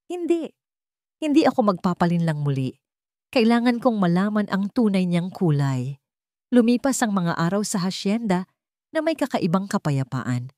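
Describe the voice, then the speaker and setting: A woman narrating a scripted dramatic audio story with measured phrasing. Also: lowish voice, mellow, no room sound, clean studio sound.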